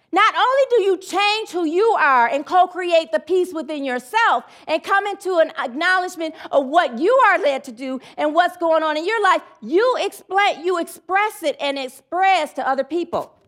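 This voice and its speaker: A woman with a high voice delivers a sermon in a chatty, loosely rambling way. She drifts into side remarks and then leads the room in call-and-response affirmations. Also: lively intonation, normal speed, hardly any pauses, a bit bright. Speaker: preacher.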